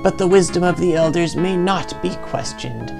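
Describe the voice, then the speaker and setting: A woman plays a stern guild elder from a fantasy game, speaking in solemn, archaic phrases. The voice is gravelly and grave, with clear music playing behind it. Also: lowish voice, moderate pace. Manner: solemn, formal, archaic diction. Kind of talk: dramatic fantasy game dialogue.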